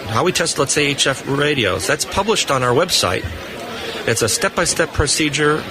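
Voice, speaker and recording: A man giving unscripted, explanatory answers as a technical guest in a live interview. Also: mid-range voice. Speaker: radio equipment test engineer, interview guest. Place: booth on a convention hall floor. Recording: noisy busy convention floor background.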